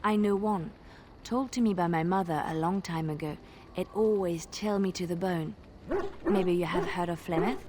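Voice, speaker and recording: An actress playing a traveling minstrel in a fantasy game, telling tales in a measured, suspenseful and lyrical way. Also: female voice, on the low side, darkish tone, slight background noise, close to an everyday voice. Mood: fond, inviting. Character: female traveling minstrel, soft-spoken, wistful storyteller. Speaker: game companion character. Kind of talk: dramatic storytelling in a fantasy game.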